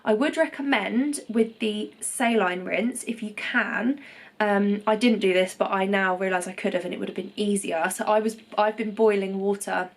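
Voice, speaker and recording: Young woman, congested, casual home vlogger; chatty, reassuring, mid-pitched. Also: normal speed. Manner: chatty, reassuring, many ums and self-corrections. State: nasally congested after nose surgery. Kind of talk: casual recovery vlog to camera.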